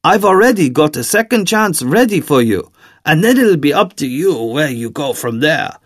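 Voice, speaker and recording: Audiobook villain, played by a male narrator: soft German accent, persuasive and deliberate, lively swoops, very dry sound. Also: fairly high pitch, dry recording. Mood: impatient, insistent. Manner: coaxing, theatrical, deliberate. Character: tall, sly villainous man, calm, persuasive, sinisterly courteous.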